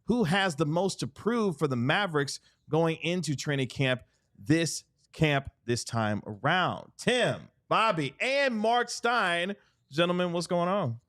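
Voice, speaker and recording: High, bright-voiced male podcast host. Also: lively intonation, a little slow. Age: 30s. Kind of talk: upbeat sports show introduction and banter.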